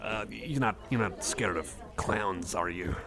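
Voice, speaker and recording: A man playing a friendly, nerdy cyborg guy with a lowish voice, chatting casually in an ASMR roleplay. He rambles, hesitates and corrects himself, mildly keen on his hobby. Busy cafe chatter runs clearly behind him. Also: unhurried. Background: cafe crowd ambience.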